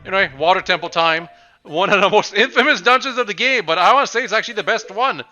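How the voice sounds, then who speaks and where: A young man talks through a video game in a loose, meandering way, often pausing and restarting his thoughts. His voice is slightly raspy, sits a bit high, and has a very sharp, bright edge. Faint game music plays underneath. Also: lively intonation, highs cut off. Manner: rambling, with frequent pauses and restarts. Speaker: let's play commentator. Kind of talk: casual game commentary.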